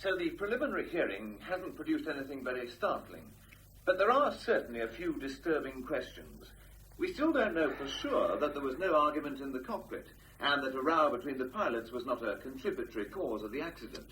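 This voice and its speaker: An actor playing a probing broadcast news commentator with an insinuating, questioning delivery in a radio drama. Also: male voice, mid-range voice, sing-song, a little fast, a bit bright, slight background noise, low-quality recording.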